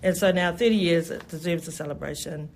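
New festival organiser, mid-pitched and enthusiastic, a rambling interview guest. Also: a little fast, vocal fry, a little hiss or hum. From New Zealand. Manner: enthusiastic, rambling, many "you know" fillers. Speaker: festival event specialist. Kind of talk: radio interview.

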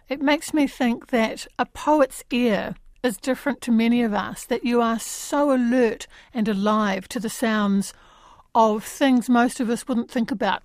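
A woman's voice: a little high, darkish tone, no echo, studio quality. A woman, hosting a radio arts interview and asking conversational questions.

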